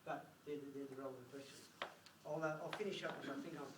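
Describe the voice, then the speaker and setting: A man born in Hungary giving an informal talk to a group as a recruiter, lively and acting out interview exchanges. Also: mid-range voice, quick pace. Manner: animated, acts out interview dialogue. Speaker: recruiter and presenter. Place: meeting room at a live event. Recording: echoey room from far off, faint noise.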